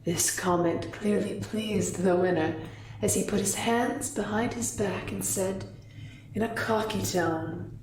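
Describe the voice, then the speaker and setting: A woman voicing a sly, sultry kitsune wizard through an echoing voice filter, narrating a scripted fantasy backstory in a measured way. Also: medium pitch, darkish tone, pitched below the performer's own voice. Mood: sly storytelling. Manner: slow, measured storytelling. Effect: voice filter with echo. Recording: echoey, distant, faintly noisy.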